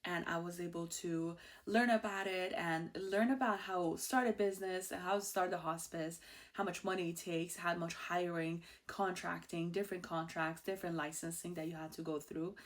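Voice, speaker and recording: An outgoing woman in her thirties with an Iranian accent hosts her own show in a loose, chatty monologue. Her voice sits a little on the low side for a woman, and she talks with a bubbly warmth, laughing easily between thoughts. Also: fairly even intonation, moderate pace. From Iran. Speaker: host. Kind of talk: casual personal monologue.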